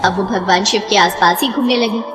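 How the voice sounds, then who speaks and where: A woman's voice: medium pitch, fairly quick, fairly bright tone, long reverb tail, faint music behind. A woman reading scripted narration for a devotional story.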